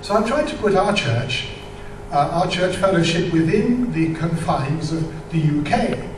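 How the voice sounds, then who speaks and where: Older male church speaker, high voice, halting explanatory delivery, distant mic in a reverberant hall. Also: lively intonation, background noise. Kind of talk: informal church talk with slides. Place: large church hall with audience, indoors. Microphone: microphone on a stand.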